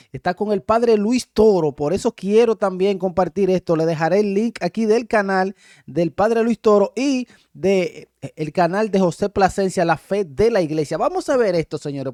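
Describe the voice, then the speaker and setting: A man's voice: high voice, mellow, dry recording, clean studio sound. A man hosting a reaction video, talking casually and directly to viewers with short interjections. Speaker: host of a reaction video channel.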